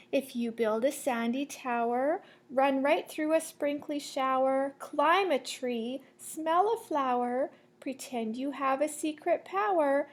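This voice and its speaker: A woman with a high voice reads aloud to children at a slow, deliberate pace. She has the manner of a teacher sharing a picture book, giving the lines a sing-song, storytelling shape. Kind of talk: children's read-aloud storytelling with asides to listeners.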